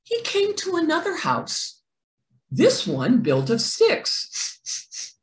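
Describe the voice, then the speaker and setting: A middle-aged woman narrates a picture book with theatrical expression. Her pitch swings widely while the pace stays slow and deliberate. The small room gives her voice a clear echo. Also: huge pitch swings. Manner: theatrical, deliberate, stressed words. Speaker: children's read-aloud presenter. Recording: roomy indoor echo.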